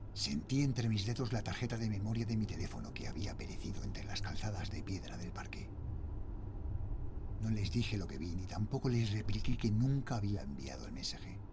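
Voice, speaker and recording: A man playing a frightened father and night guard, telling his horror story in a Spain accent with tense, dramatic delivery and hushed phrase endings. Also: lowish voice, background noise. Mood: uneasy, bewildered. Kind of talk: scripted first-person horror narration, audio drama.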